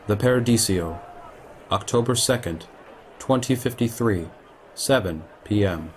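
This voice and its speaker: A man's voice: fairly low pitch, a little slow, a little hiss or hum, close to an everyday voice. A man narrating a radio play as its scene announcer. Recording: loud music behind.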